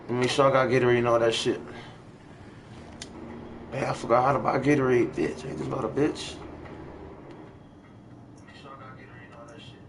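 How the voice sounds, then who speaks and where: A man's voice: mid-range voice, little pitch movement, brisk, pauses often. A man hosting a gaming livestream, chatting casually in slangy, profane language. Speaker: game livestream host. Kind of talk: casual gaming stream chat. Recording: noisy home stream with game ambience.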